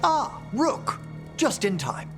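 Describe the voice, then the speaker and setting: Slow, genteel scholarly necromancer. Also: male voice, very high voice, wildly swooping pitch, music in the background, full character voice. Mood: pleased, intrigued. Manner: formal, precise, theatrical. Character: erudite, genteel male necromancer scholar, patient and curious. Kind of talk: video game voice acting.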